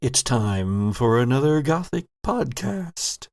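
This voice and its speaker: Slow, gravelly man narrating dramatically. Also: mid-range voice, big pitch swings, darkish tone, no room sound, clean studio sound. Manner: theatrical, deliberate phrasing. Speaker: host and game master. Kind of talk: dramatic narration for an actual-play horror audio drama.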